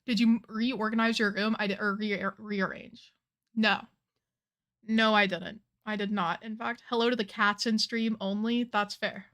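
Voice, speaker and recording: A woman's voice: mid-range voice, fairly even intonation, measured pace, fairly bright tone. Bubbly young woman streamer. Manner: chatty, bubbly, says "like" a lot. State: slightly losing her voice. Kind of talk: casual game stream chat.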